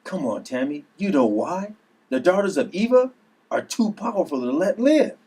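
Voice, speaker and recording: Earnest uncle turned combat trainer male actor at a table read, higher register, husky edge. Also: sing-song, moderate pace, mellow, heavily acted. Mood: urgent.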